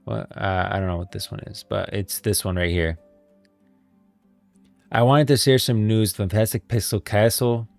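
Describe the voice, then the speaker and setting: A man hosting a casual gaming livestream, chatting informally, thinking aloud in a halting way and repeating phrases. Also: on the low side, steady tone, creaky, soft background music. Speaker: streamer, host of a gaming lore stream. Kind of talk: casual livestream chat, reading posts aloud.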